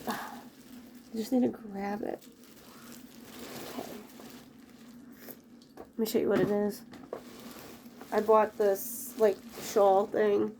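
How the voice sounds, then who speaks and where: A woman's voice: mid-range voice, a little mellow, slight background noise. Chatty woman rambling with a head cold. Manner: rambling, chatty, self-interrupting. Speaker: vlogger. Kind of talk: casual chat while tidying. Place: bedroom at home.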